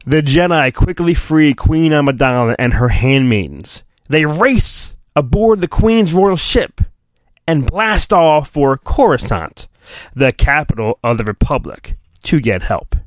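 Theatrical man reading storybook, very animated pitch. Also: no echo. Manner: theatrical, drawn-out emphasis, flippant asides. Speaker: podcast host doing a solo storybook reading. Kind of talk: mock-dramatic children's storybook reading with crude asides.

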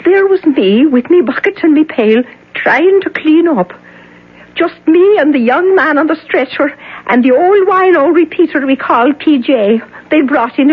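An actress playing an excitable, superstitious older cleaning woman with a lilt in a 1970s radio drama. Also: high-pitched. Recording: dull lo-fi 1970s radio broadcast.

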